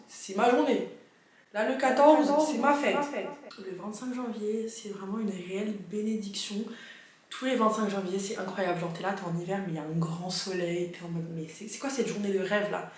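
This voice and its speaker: A young woman vlogging as an au pair, chatting in a lively, slangy way. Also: medium pitch. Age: young adult. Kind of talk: casual chat. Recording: very echoey room with music.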